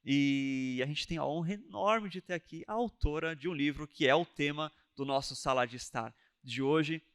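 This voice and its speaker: A man's voice: on the high side, normal speed, fairly bright tone, smooth. A man hosting a church talk show, introducing guests with effusive enthusiasm. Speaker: host.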